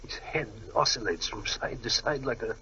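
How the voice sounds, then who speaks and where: An actor playing a courteous, learned gentleman in a 1940s radio drama, polite, a little hesitant and excitable. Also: medium pitch, moderate pace, breathy edge. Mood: hushed, alarmed. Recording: muffled old radio recording.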